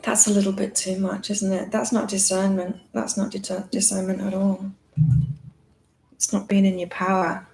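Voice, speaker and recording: A woman hosting a live psychic card-reading chat with a calming voice, talking in a rambling, reflective way with many fillers. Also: medium pitch, moderate pace, vocal fry, a little hiss or hum, low-quality recording. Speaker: psychic reader and live-stream host. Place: in Thailand.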